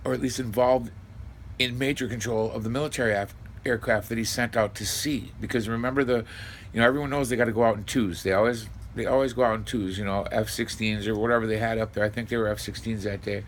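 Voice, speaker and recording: A man's voice: lowish voice, fairly even intonation, quick pace, a little hiss or hum. An American man giving casual, opinionated and blunt online commentary over clips he plays. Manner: opinionated, blunt, swearing, mimics others mockingly.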